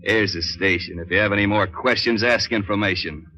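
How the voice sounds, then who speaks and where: A man's voice: fairly low pitch, fairly quick, lo-fi sound, loud music behind the voice, close to an everyday voice. An actor playing a smug, menacing racketeer gunman in a 1940s radio noir, talking tough slang.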